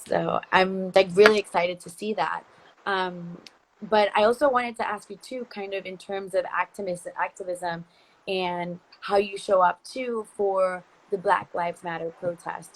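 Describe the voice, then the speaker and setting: A woman from Costa Rica leads a casual livestream interview over a video call. Her voice sits a little low, and she talks in long, chatty, rambling turns full of restarts. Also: low-quality recording. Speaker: interviewer, company founder. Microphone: phone, video call.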